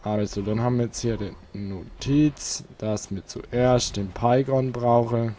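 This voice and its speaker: Unhurried man commenting on a game, faint noise. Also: mid-range voice, dark tone. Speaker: let's player. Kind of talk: casual gameplay commentary.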